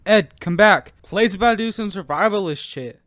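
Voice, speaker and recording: Higher-voiced eager young squad kid played by a man. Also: moderate pace, fairly bright tone, dry recording. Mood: eager, questioning. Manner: casual, questioning.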